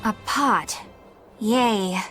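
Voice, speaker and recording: A woman playing a languid, sarcastic, mischievous young woman with a weary deadpan manner in a game cutscene. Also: medium pitch, drawn-out words, music in the background. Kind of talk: English-dubbed anime game cutscene acting.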